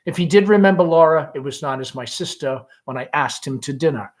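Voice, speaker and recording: A man reading a play aloud in deliberate, expressive scripted narration. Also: on the high side. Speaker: reader, principal.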